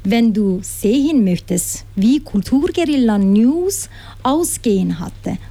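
A woman presenting a community radio show, announcing slowly and carefully with small slips. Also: fairly high pitch, warm tone. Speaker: radio host.

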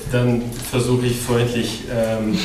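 A man who is a literary translator, speaking haltingly on a panel, with many ums and restarts. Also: mid-range voice, completely flat delivery, noisy background. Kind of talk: panel discussion. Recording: very echoey room from far off.

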